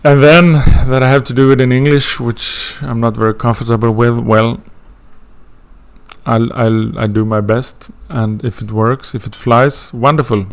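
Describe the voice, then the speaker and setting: A man's voice: medium pitch, steady tone, measured pace, smooth. A man from Sweden with a Swedish accent, introducing an astrology course in a hesitant way, with many fillers and restarts. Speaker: astrology teacher.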